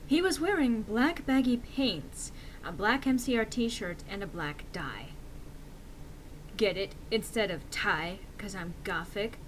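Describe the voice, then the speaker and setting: A woman's voice: mid-range voice, slight background noise, rough recording. A woman giving an exaggerated dramatic reading of fan fiction in a theatrical manner. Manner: theatrical, swinging into shouts for quotes.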